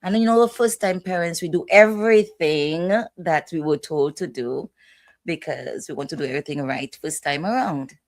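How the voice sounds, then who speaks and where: A woman's voice: deep voice, moderate pace. A middle-aged woman hosting a casual family chat, talking in a rambling, chatty way with asides. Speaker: host, mother.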